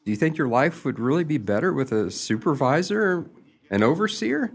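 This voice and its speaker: A man's voice: a little high, sing-song, normal speed, breathy edge, exaggerated voice. A man playing a jealous, lovesick suitor in an amateur radio play, earnest and exasperated, with a hesitant stammer.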